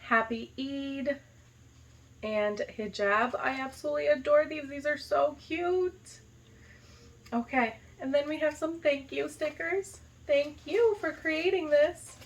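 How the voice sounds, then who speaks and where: A young woman from the United States excitedly unboxing a gift box in a casual vlog, gushing and dropping lots of "like". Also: high voice, slow pace, low-quality recording. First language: not Arabic. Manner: gushing, enthusiastic, lots of "like" and "so cute". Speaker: vlogger, high school teacher.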